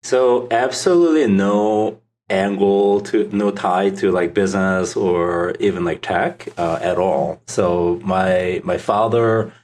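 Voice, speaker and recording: A man's voice: low voice, slow pace, hardly any pauses, darkish tone, slight background noise. A middle-aged man from South Korea with a Korean accent, giving casual answers as a podcast guest. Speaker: podcast guest, startup founder.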